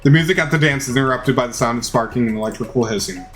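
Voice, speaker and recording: A man's voice: medium pitch, racing, a bit bright. Male game-playthrough narrator, mid-range voice, theatrical read with small stumbles, music underneath. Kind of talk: dramatized reading of game text. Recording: music behind the voice.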